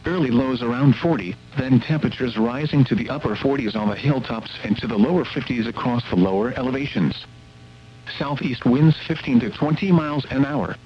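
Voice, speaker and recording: A man reading a scripted weather forecast as a radio announcer, in a formal, measured delivery. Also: medium pitch. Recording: muffled weather radio broadcast, hum and static.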